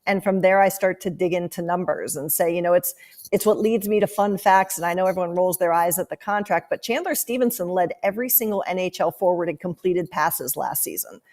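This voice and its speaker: Low-voiced woman talking hockey analysis. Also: brisk, a little mellow. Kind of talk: podcast interview, analytical sports talk.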